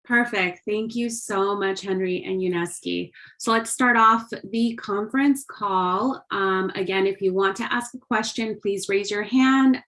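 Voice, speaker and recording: Female moderator, medium pitch, courteous and orderly as she hosts a media conference call. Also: a little slow, few pauses, a bit bright. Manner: polite, orderly.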